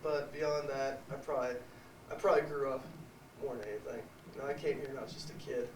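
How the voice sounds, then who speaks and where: A man's voice: medium pitch, steady tone, fast, recorded from a distance, a little hiss or hum. A young man, a college football player, answering sincerely and a little hesitantly with repeated starts. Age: young adult. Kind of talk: reflective interview answers. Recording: echoey room, off-mic.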